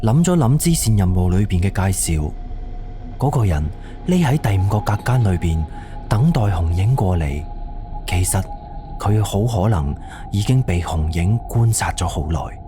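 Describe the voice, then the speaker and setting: A fairly low male voice narrates a suspense story over clear background music. He speaks in a scripted radio-drama storytelling style. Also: expressive, normal speed.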